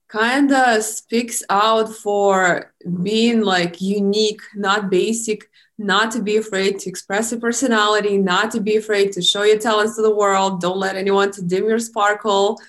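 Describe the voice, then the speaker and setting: A woman's voice: mid-range voice, a bit bright. A woman from eastern Ukraine, a native Russian speaker with a Russian accent, talking casually as a podcast guest. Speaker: podcast guest, blogger and model. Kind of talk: casual interview talk.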